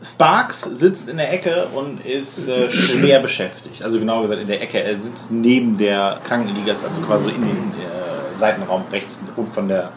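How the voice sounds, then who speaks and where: Guy game master narrating, lowish voice, kinda halting, echoey n noisy room. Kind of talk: narrating a tabletop roleplaying game. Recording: echoey room with clear background noise.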